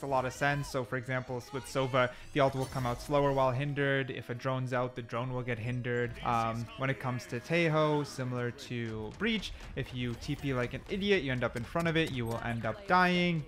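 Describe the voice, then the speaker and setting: Guy doing chill informal game commentary, mid pitched voice, slangy, walks you thru it step by step. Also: fairly bright tone. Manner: informal, slangy, talks viewers through step by step. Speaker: gaming video host. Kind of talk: casual gameplay explainer commentary.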